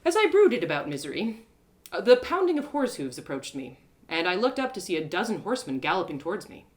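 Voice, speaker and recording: A woman storyteller giving an expressive first-person narration of a fantasy adventure in a live reading. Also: lowish voice, fairly quick. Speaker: storyteller, one-woman audio drama performer.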